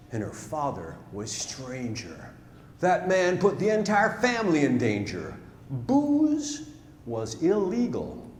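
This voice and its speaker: A male storyteller performs a rhyming ballad poem live in a theatre. His delivery is deliberate and dramatic, moving animatedly from raised cries to hushed lows. The hall rings with long reverb, and there is some noise in the recording. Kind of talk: theatrical rhyming verse recitation on stage.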